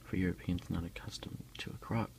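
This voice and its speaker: Whispering man with deep, gravelly creak. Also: fairly even intonation. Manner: soft, unhurried, hushed whispering. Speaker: ASMR video creator. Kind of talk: whispered ASMR unboxing, reading aloud and commenting.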